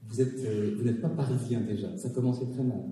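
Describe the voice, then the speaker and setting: A man's voice: medium pitch, fairly even intonation, a little fast, dark tone, slight background noise. A man moderating a public talk, making a formal introduction with many hesitations. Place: public hall. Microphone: recorded from the audience on cassette. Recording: distant, echoey hall on cassette.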